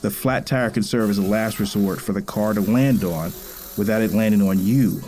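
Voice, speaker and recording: A man's voice: lowish voice, normal speed, a little mellow, soft background music, close to an everyday voice. An actor playing a firm, loving middle-aged father in a naturalistic drama, speaking in a plain, instructive way. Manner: instructive, plain-spoken, colloquial. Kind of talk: naturalistic screen drama acting.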